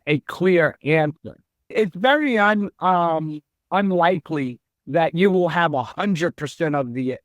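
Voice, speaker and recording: A middle-aged man, a chief executive, answering interview questions in a slow, measured and reflective way. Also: a little high, dry recording. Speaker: CEO guest.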